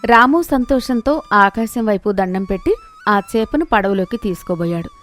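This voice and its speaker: A woman's voice: mid-range voice, animated, normal speed, soft background music. A woman narrating a scripted moral story in a dramatic storytelling style.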